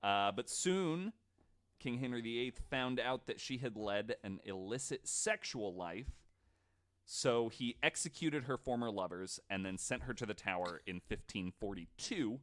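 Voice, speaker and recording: A man's voice: medium pitch, animated, unhurried, fairly bright tone. A man hosting a podcast, telling stories casually from notes with informal, sweary asides. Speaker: podcast host.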